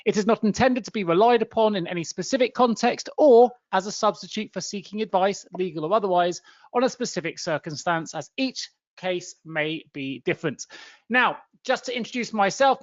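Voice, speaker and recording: A fluent, upbeat man in his forties hosts a professional webinar with a high voice. He sounds dry and close, with no room echo. The audio is muffled and lo-fi, like a video call. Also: a little fast. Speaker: webinar and podcast host. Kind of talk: webinar hosting.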